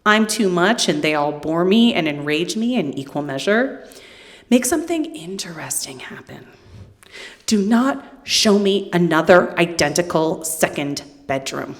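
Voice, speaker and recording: A middle-aged woman giving a live literary reading in a hall, with deadpan, wry humour and casual asides to the listeners. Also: fairly low pitch, unhurried. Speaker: poet reading from own book.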